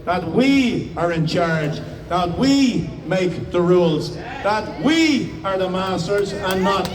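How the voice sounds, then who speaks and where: High-pitched Irish man addressing a gathering. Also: animated, takes it slowly, distant mic. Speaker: master of ceremonies. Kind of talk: public address at a commemoration. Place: outdoors in front of a building.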